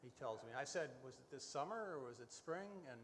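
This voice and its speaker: A man's voice: mid-range voice, lively intonation, a little fast. A man hosting a live radio variety show in a conversational, hesitant manner. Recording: echoey hall with faint noise.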